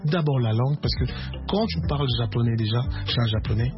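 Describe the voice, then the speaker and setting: A man from Kinshasa whose first language is Lingala, talking about his music career in a relaxed, halting radio interview with many repeated words. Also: medium pitch, dull audio. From Congo (Kinshasa). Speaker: musician guest.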